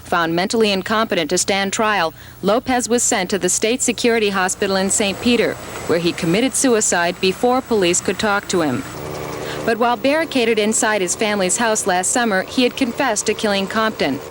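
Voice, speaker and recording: Female TV news reporter, medium pitch, 1980s scripted report, slight background noise. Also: a little fast. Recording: archival TV report, faint outdoor noise.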